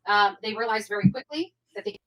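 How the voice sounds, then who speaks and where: A woman's voice: medium pitch, a little fast, fairly bright tone, low-quality recording. A woman chatting casually as a livestream guest, with a blunt, boastful way of talking that includes swearing.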